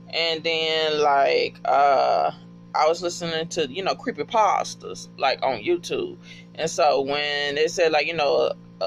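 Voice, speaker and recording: An American man chatting casually in a rambling, slangy, profane and excitable way, like a fan telling friends a story. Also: fairly high pitch, gravelly. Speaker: podcast fan reviewing favourite shows. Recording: dull lo-fi audio with music behind.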